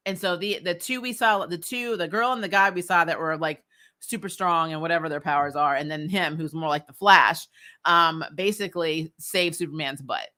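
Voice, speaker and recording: A woman giving a casual, slangy episode review as a host, animated and opinionated in her delivery. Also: fairly low pitch, normal speed. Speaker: host of a TV recap show.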